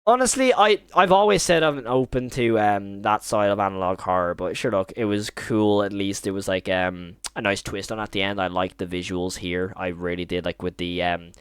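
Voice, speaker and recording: A man's voice: lowish voice, normal speed, few pauses, smooth, no room sound, studio quality. A man hosting a reaction video, giving casual, rambling, informal commentary with some mild swearing.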